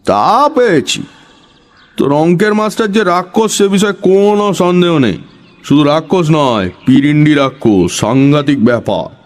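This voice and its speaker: A man acts a conspiratorial, know-it-all older lad in an audio horror story. The voice sits high and moves widely in pitch. He explains everything with solemn self-assurance. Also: lively intonation, normal speed, close to an everyday voice. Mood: grave, knowing.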